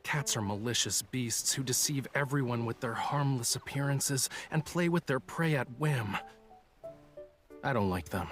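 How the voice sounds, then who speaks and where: A male actor voices an aloof young man from a fantasy game dub. He teases and dramatizes in a mid-pitched voice. Music plays quietly beneath him. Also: lively intonation, a little slow, natural voice. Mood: alarmed, petulant. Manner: teasing, dramatic. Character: aloof, teasing young man with fire powers, scared of cats. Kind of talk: English game dub voice acting. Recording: background music under dialogue.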